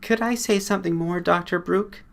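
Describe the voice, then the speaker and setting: High-voiced, adoring nervous woman, acted by a man. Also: steady tone, normal speed, vocal fry, fairly bright tone. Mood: anxious.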